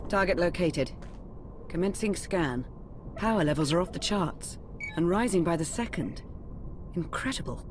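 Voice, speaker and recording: A woman's voice: lowish voice, animated, normal speed, pauses often, noisy background, close to an everyday voice. A woman playing a cool, capable sci-fi field agent in a video game, composed, precise and serious. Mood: composed, focused. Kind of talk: video game cinematic acting.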